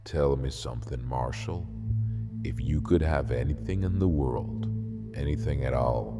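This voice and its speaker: An actor playing a sly, uncanny old hospice patient who bargains slowly and deliberately in a horror audio drama. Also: male voice, rumbling low, loud music behind the voice. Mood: coaxing, mysterious. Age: older.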